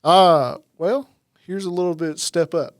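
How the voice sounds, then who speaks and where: A man's voice: high voice, unhurried, no echo, clean studio sound. A young man hosting a podcast in an easygoing way, laughing often as he chats with his guest. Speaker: podcast host. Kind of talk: casual interview chat. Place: podcast studio.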